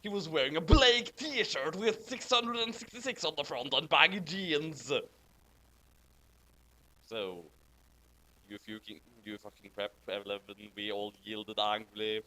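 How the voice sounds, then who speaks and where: A man with a notably high voice hosts a comedic reading. His tone is bright and forward. He moves between reading story lines aloud and chatting casually about them. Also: measured pace, low-quality recording. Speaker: host of fanfiction reading commentary.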